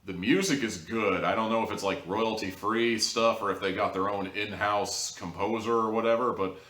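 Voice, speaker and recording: Male review host, lowish voice, wry and sarcastic, conversational delivery, echoey room. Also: fairly even intonation, moderate pace, a bit bright. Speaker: audio drama reviewer, video host. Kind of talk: casual, opinionated spoken review to camera. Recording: roomy echo, distant from mic.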